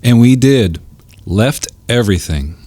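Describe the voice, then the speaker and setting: A man's voice: mid-range voice, animated, measured pace, mellow, dry recording. A man playing a devoted, once ambitious fisherman disciple in an audio drama, earnest and heartfelt.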